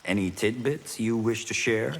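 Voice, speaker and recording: A man's voice: lowish voice, normal speed, vocal fry, in a large hall, a little hiss or hum. A man playing an earnest young warrior in a video game, asking questions eagerly. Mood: earnest, curious. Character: earnest young male warrior, destined thunder champion. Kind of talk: video game character dialogue.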